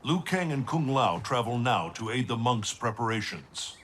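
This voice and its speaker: Wise solemn god leading a war, formal talk, lively pitch, game cutscene, faint ambience. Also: male voice, slight background noise. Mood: assured, formal. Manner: formal, measured wording. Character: grave, wise male thunder god and war leader. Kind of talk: dramatic video game cutscene acting.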